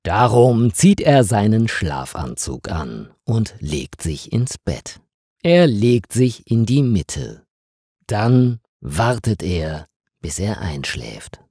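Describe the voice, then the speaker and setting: A man narrating a scripted story for language learners in a deliberate, expressive and carefully phrased way. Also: lowish voice, breathy edge, dry recording, clean studio sound.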